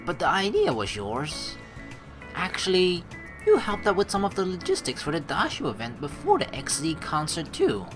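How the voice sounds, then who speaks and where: A woman with a low voice hosts a let's play, talking in a chatty, slangy way. Her pitch swings in a lively manner as she comments and reads story text. Faint music plays behind her. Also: normal speed. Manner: chatty, slangy, expressive reactions. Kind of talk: gaming commentary, reading story text aloud.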